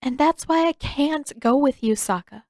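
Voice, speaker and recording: A woman voicing a gentle, regretful teenage princess in an audiobook, with soft, careful, polite phrasing. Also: high-pitched, a little slow, dry recording, studio quality, pitched above the performer's own voice. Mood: sad, earnest. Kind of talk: audiobook character dialogue.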